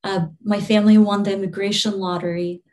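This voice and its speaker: Young woman from albania, interview guest, soft and loose, lots of um and you know, laughs a bunch. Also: mid-range voice, flat delivery, brisk, a little hiss or hum. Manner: soft-spoken, laughs often, many fillers and restarts. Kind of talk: relaxed conversational interview.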